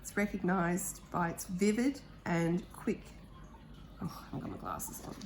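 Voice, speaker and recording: A woman with a croaky voice, chatting casually and eagerly about art supplies as she unboxes them, with the odd um. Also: lowish voice, moderate pace, background noise. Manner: chatty, enthusiastic, thinking aloud with ums. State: croaky voice, clearing throat. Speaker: art vlogger.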